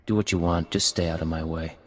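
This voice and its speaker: An actor playing a cold, terse pirate agent in a video game, deadpan and curt. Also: male voice, rumbling low, quick pace. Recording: reverberant game mix.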